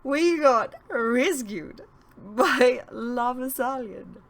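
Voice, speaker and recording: A woman's voice: on the high side, animated, very slow, gravelly, pitched above the performer's own voice, heavily acted. A woman voicing a giddy, giggling young woman in an audiobook, breathless, reckless and gushing. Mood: hysterical giggling.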